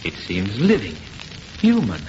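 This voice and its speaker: A man acting a suspicious, superstitious gentleman in an old-time horror radio play, speaking with dramatic foreboding. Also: on the low side, wildly swooping pitch, unhurried. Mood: uneasy wonder. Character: uneasy, suspicious gentleman friend, full of superstitious gloom. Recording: muffled, noisy old radio recording.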